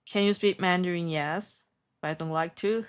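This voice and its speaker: A woman speaking as a live stream trading host, chatting casually in a giggly, hesitant, halting way. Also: on the low side, clear, resonant tone. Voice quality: non-native English.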